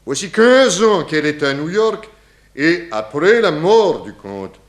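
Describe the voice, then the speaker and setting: A man's voice: fairly high pitch, very animated. An actor playing an eccentric, philosophical Russian émigré with a Russian accent, grandiloquent and cryptic, dropping his articles in a 1960s French radio crime drama. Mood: gossipy, mournful. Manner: slow, grandiloquent, broken grammar with dropped articles.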